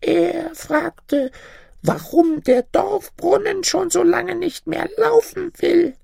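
A man voicing an ancient, crafty little old woman in a fairy-tale reading, with a thin, breathy falsetto and a slow, coaxing manner. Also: mellow, no echo, clean studio sound, exaggerated voice.

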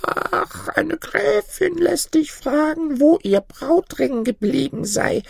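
A man voicing an ancient, crafty little old woman in a fairy-tale reading, with a thin, breathy falsetto and a slow, coaxing manner. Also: animated, dry recording, studio quality, full character voice.

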